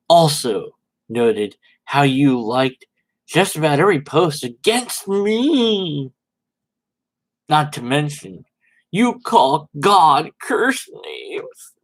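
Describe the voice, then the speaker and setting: A pompous, self-righteous religious debater, as a man acts him out in a mock reading. He is condescending and melodramatic. His words come slowly, with pitch swooping widely. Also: full character voice, poor audio. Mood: indignant.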